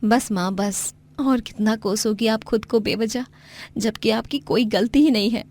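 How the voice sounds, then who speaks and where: A woman's voice: fairly high pitch, measured pace, a little mellow. A woman playing brave, devoted young daughters in a Hindi moral story. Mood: reassuring.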